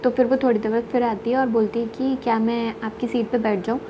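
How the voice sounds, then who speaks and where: Young woman vlogger, high voice, chatty storyteller, phone at arm's length over background noise. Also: flat delivery, fairly quick, dull audio. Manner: chatty, mixes English words into Hindi. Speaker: travel vlogger. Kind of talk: casual vlog chat. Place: small bedroom at home, indoors. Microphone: phone camera at arm's length. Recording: noisy train and station ambience.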